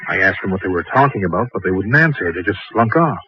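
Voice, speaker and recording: A man's voice: deep voice, lively intonation, quick pace, a little hiss or hum. An actor playing a suspicious, uneasy man of a camp crew in an old radio horror drama. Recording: dull old radio recording.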